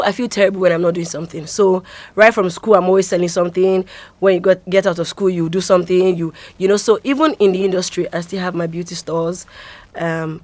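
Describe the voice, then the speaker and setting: Low-voiced young Nigerian woman, dry close sound. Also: darkish tone, dry recording. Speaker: actress, interview guest. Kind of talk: casual interview talk.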